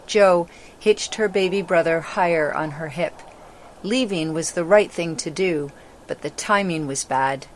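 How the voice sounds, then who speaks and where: A woman's voice: on the low side, unhurried, a little hiss or hum. A female narrator reading a scripted children's story in a dramatic storytelling style.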